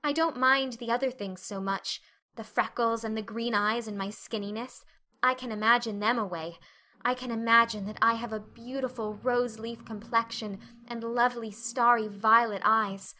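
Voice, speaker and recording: A chatty, imaginative young girl, played by a woman, high and very bright in tone. She is earnest, effusive and dramatic, as in a storybook reading. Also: fairly even intonation, moderate pace. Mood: tragic, resigned. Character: talkative, dreamy, dramatic eleven-year-old orphan girl.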